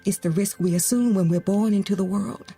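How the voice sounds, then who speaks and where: An actress playing a gentle, grieving middle-aged mother who offers consolation in a naturalistic audio drama. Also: medium pitch, slightly rough, faint music behind. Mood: earnest, consoling. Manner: slow, gentle, earnest delivery with pauses. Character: grieving, consoling mother who lost her teenage daughter.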